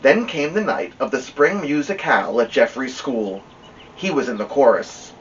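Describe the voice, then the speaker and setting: A man reading a children's novel aloud as an expressive storyteller, with dramatic pauses and drawn-out emphasis on key words. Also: mid-range voice, a little hiss or hum. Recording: dull lo-fi sound, distant from mic.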